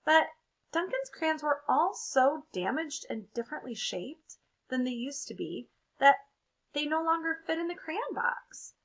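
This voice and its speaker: A woman reading a children's picture book aloud in an expressive way, with casual asides to young listeners. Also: high-pitched, unhurried. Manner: expressive, child-directed storytelling. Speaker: read-aloud storyteller.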